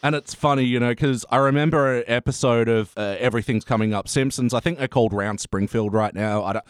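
A man's voice: medium pitch, a little fast, clean tone, dry recording, clean studio sound. A man hosting a casual review chat podcast, blunt and given to swearing. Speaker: podcast host.

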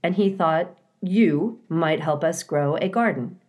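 A woman's voice: low voice, slow pace, darkish tone. A woman voicing a friendly, polite female robot mother in a storybook, with formal, plain phrasing. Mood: polite, earnest.

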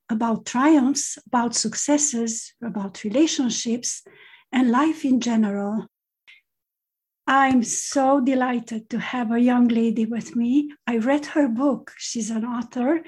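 A woman's voice: a little high, darkish tone, husky. A woman hosting a podcast, introducing her guest in a chatty, effusive way. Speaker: podcast host. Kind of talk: casual interview introduction.

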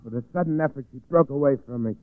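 An actor playing a lonely weaver desperate for a friend in a 1940s radio drama, plaintive and confiding. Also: male voice, mid-range voice, rapid-fire, soft background music, lightly acted. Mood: wistful, lonely. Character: lonely, pleading male weaver, obsessed with making a friend. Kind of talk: 1940s radio acting, first-person narration and dialogue. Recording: muffled, phone-like 1940s radio recording.

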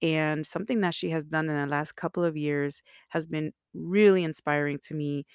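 A woman's voice: sits low, steady tone, moderate pace, smooth, no room sound, clean studio sound. A woman in her forties hosting a faith-based podcast, talking in an encouraging, prayerful way. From Miami area. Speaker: podcast host.

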